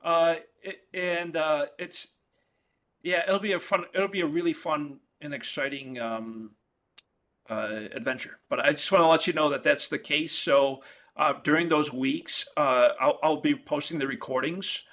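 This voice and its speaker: A man from New York with a New York accent, whose first language is Italian, giving a halting virtual-class lecture as an economics professor, full of fillers and restarts. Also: fairly high pitch, fairly even intonation, fairly bright tone. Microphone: video call.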